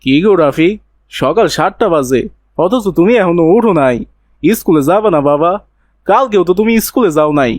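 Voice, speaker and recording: Guy doing a poor devoted cobbler dad, rural bangladeshi accent, highish voice, dry sound, cartoon style. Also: lively intonation, normal speed, darkish tone, no room sound. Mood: gentle. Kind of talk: Islamic moral cartoon dialogue.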